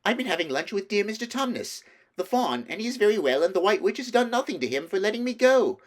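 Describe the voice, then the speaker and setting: Man voicing an eager, high-pitched young girl. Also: fast. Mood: happy, excited. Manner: excited, breathless enthusiasm.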